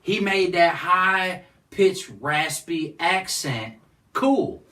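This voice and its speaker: High-voiced man, rambling. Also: extremely slow, bright, forceful tone. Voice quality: high-pitched voice. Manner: rambling, repeats "you know what I'm saying". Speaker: guest, nonprofit founder. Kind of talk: casual interview conversation. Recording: distant mic.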